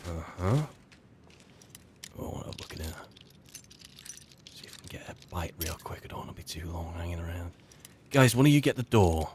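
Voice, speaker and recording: A man's voice: deep voice, animated, normal speed, long pauses, darkish tone, a little hiss or hum. A man giving casual role-play commentary as he plays, musing and muttering asides to himself. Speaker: gamer playing in character. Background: game music.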